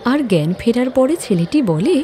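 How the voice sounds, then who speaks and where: A woman's voice: a little high, big pitch swings, moderate pace, crowd noise. A woman narrating a scripted moral story as a storyteller.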